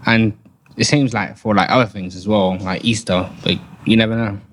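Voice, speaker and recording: A man's voice: fairly low pitch, steady tone, a little hiss or hum. A nineteen-year-old man from the UK, a podcast guest in a casual group discussion, speaking hesitantly and leaning heavily on "like". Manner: hesitant, halting, leans heavily on "like".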